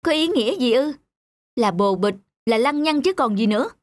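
A woman voicing a jealous, hot-tempered young wife in an animated drama, with a sarcastic, accusing manner. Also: a little high, normal speed, no room sound, natural voice. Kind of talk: animated cartoon drama acting.

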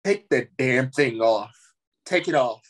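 A man's voice: mid-range voice, expressive, takes it slowly. A man acting a bitter, weary, dying thirty-five-year-old at a script table reading. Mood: irritated.

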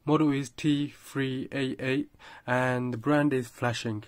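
A man's voice: mid-range voice, steady tone, takes it slowly, no room sound. A man giving a casual product review in a plain-spoken, slightly hesitant explaining manner.

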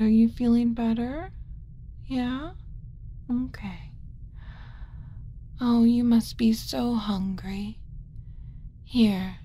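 A woman voicing a kind, flustered alien mother in a soft-spoken ASMR roleplay, gentle and cooing, often dropping into a whisper. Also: on the high side, creaky, a little hiss or hum, heavily acted. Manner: gentle, cooing, hesitant muttering to herself.

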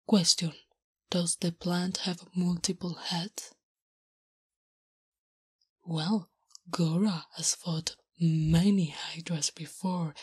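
A woman voicing a big, gentle, naive orc warrior woman in a soft, breathy whisper, with halting, stilted speech, for an ASMR roleplay. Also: lowish voice, no echo, heavily acted. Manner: halting, literal, third-person stilted speech.

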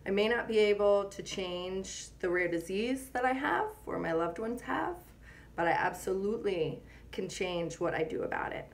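A woman's voice: medium pitch, animated, moderate pace. A woman in her thirties speaking earnestly to the camera as an advocate, with firm emphasis. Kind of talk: earnest testimonial talk to camera. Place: small indoor room.

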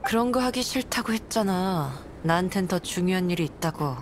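A woman's voice: lowish voice, animated, brisk, background noise, lightly acted. A woman voicing an aloof, cynical nun in a game, speaking in a dry, blunt manner. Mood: cold, dismissive. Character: aloof, cynical adult nun, coolly suspicious. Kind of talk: game voice acting.

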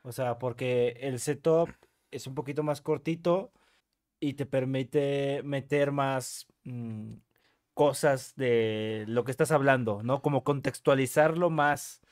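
A man's voice: mid-range voice, takes it slowly, a little mellow, no room sound, clean studio sound. A young man chatting casually as a co-host analyzing rap bars. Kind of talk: casual chat. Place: small home studio.

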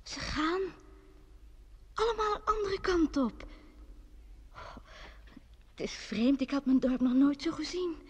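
A dreamy young woman in love in an old Dutch radio play. Her voice is high, and she speaks softly with wistful wonder. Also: lively intonation, measured pace, pauses often.

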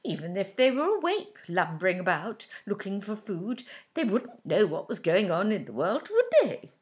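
A woman's voice: medium pitch, very animated, normal speed, darkish tone, natural voice. A woman playing a chatty, whimsical elderly wife in a radio comedy. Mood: musing. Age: older.

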